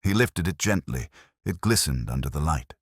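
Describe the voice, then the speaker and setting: A man narrates a Victorian mystery in a literary, dramatic style. His voice is very deep and rough-edged, with lively, expressive movement in pitch, heard dry and free of room sound. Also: moderate pace, dry recording.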